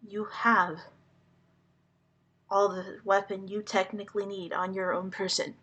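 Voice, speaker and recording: A woman whose first language is Hungarian, speaking to the public in a slow, earnest monologue, halting and deliberate with many pauses. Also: mid-range voice, little pitch movement, sharp tone, breathy edge. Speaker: vlogger addressing the public.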